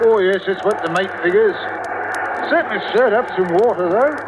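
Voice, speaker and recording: An actor as a high-voiced, folksy ship's steward. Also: male voice, moderate pace, close to an everyday voice. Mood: folksy, unworried. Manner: respectful, colloquial. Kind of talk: 1940s radio acting. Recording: noisy vintage radio recording, sea effects.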